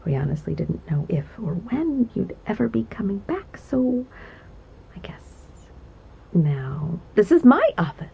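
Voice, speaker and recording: A woman playing a gentle giantess secretary in an ASMR roleplay, doting and coy, with a soft, breathy voice that often slips toward a whisper. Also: medium pitch, sing-song, unhurried, slight background noise, poor audio. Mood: gentle, reassuring. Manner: tender, coy, hesitant, giggly asides. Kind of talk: ASMR roleplay, intimate one-sided conversation.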